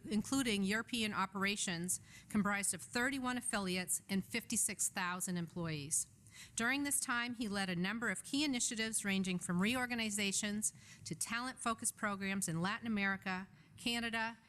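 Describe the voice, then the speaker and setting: A woman's voice: medium pitch, a bit bright. A woman in her fifties reading a prepared introduction of a guest speaker from notes at a podium. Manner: measured, reads from notes. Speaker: event host introducing the speaker. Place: function room. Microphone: podium microphone.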